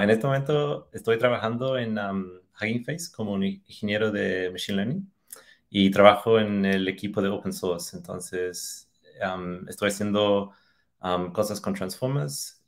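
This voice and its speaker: A man in his thirties from Australia, a native English speaker, giving casual interview answers with an Australian accent. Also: lowish voice. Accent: Australian-accented Spanish. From Australia, Tasmania. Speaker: podcast guest, machine learning engineer. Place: indoors on a video call. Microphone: video call.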